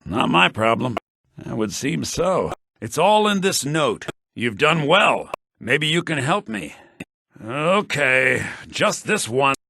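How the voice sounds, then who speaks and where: An actor playing a brash, sharp-tongued fantasy townsman and fighter in a video game, with a rough, gravelly voice. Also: mid-range voice, big pitch swings, slow, no room sound, studio quality, heavily acted. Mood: matter-of-fact.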